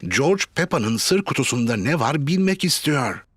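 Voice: medium pitch, very animated, moderate pace, breathy edge, no room sound, clean studio sound. An adult narrator telling a children's cartoon story in simple, explanatory storybook sentences.

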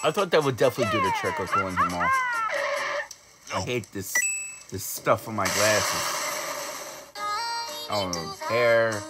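A man's voice: fairly low pitch, animated, moderate pace, long pauses. A young man casually reacting to a cartoon video at home, rambling and giggling with lots of fillers and self-corrections. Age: young adult. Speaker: YouTube reactor. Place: small home recording room, indoors. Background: loud music from the video he is reacting to.

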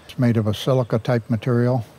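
Older guy showing off his garden outside, folksy and slow, mellow low voice, faint outdoor hum. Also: level tone. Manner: folksy, unhurried, chuckles at own jokes. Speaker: garden owner giving a tour. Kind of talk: casual storytelling tour.

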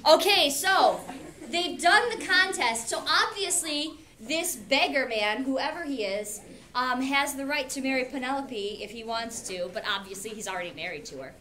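A middle-aged woman teaches and reads a story aloud in a theatrical, informal way. Her voice is high and bright, picked up by a camera in a classroom with faint noise. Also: lively intonation, normal speed. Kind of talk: animated classroom read-aloud with explanatory asides. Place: classroom, indoors. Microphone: camera a few metres away.

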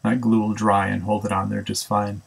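A man's voice: lowish voice, monotone, normal speed, vocal fry, breathy edge. A man casually narrating a woodworking build, thinking aloud with ums and self-corrections. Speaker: woodworking instructor. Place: home woodshop.

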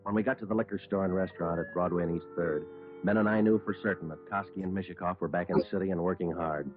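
An actor playing a terse, businesslike American police sergeant, narrating his case in a deadpan old radio crime drama style. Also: low voice, quick pace, lightly acted. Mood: matter-of-fact. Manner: clipped, deadpan, matter-of-fact. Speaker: detective narrator. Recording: muffled old radio broadcast, music behind.

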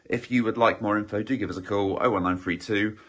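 Croaky estate agent, lower-pitched, upbeat and conversational, many fillers. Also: male voice, steady tone, a little fast, a bit bright. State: slightly croaky voice. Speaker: estate agent giving a property tour. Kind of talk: casual walk-through property tour. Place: inside a small house, walking room to room.

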